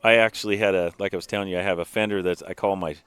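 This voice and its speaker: A man's voice: low voice, fairly even intonation, rapid-fire, a bit bright, clean tone, no echo. A man who is a singer-songwriter, a guest on a podcast, talking in an easygoing, rambling way. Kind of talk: casual chat.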